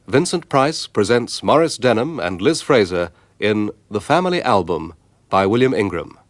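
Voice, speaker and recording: A male radio announcer reading out a drama's title and credits in a measured, formal manner. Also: lowish voice, very animated, no echo.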